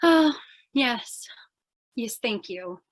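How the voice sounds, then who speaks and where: A woman's voice: fairly high pitch, lively intonation, very slow. A woman playing a plucky, scatterbrained reporter in danger in an old-time radio screwball drama, chattery and theatrical with a naive air. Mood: mock remorseful.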